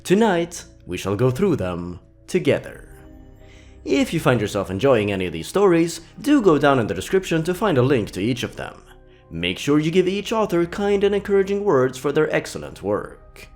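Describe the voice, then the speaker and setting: A man hosting and narrating horror stories in a scripted, deliberate and dramatic storytelling delivery. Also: a little high, very animated, darkish tone, faint music behind.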